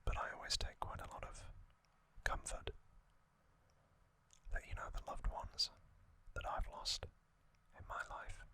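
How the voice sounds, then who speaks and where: A man hosting ASMR, narrating in a soft, breathy whisper with a gentle, reflective manner and pauses, close to the microphone. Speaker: ASMR video host.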